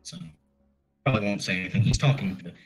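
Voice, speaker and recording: A man's voice: lowish voice, animated, fast talker, rough recording. A man casually describing his character's actions as a player in a tabletop game.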